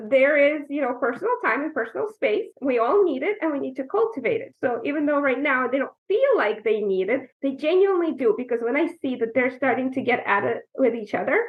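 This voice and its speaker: A woman from Ukraine, a guest coach in a casual podcast conversation, explaining her views thoughtfully with frequent "you know". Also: high-pitched. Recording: tinny phone-like remote line.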